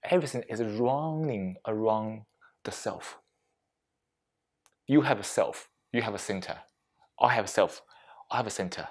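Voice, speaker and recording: A man leading a reflective mindfulness talk as a meditation teacher, speaking deliberately in short phrases with frequent pauses and non-native English phrasing. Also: on the low side, a little mellow. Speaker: meditation teacher giving a workplace session.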